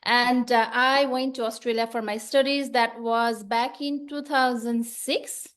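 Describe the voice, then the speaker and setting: A woman's voice: on the high side, fairly even intonation, a little slow, a bit bright. Guest: woman from Nepal, 30s to 40s, friendly and methodical, talking on a video call. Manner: friendly, explains step by step. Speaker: guest, nurse. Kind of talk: casual interview answers on a video call.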